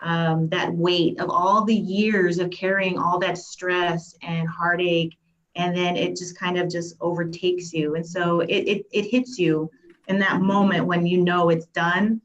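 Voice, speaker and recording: Low-voiced woman, reflective, dull recording. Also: flat delivery, a little slow. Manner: reflective, personal. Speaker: life coach guest. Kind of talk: panel discussion.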